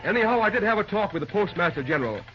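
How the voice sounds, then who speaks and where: An actor playing an enthusiastic, optimistic young railroad man with breezy, excited talk in an old-time radio drama. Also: high-pitched, very fast. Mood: confident. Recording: dull, faintly noisy vintage radio recording.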